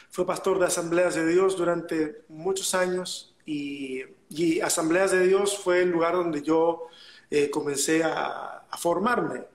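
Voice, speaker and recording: A man's voice: fairly high pitch, roomy sound. A man with a Chilean accent, a guest on a video call interview, telling his story in a reflective way. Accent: Chilean Spanish. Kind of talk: casual interview chat.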